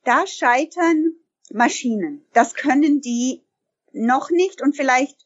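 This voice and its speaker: A woman's voice: sits high, expressive, slow, dull audio. A bilingual woman who owns a language school, answering interview questions in an emphatic way and often correcting herself mid-sentence. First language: bilingual German and English.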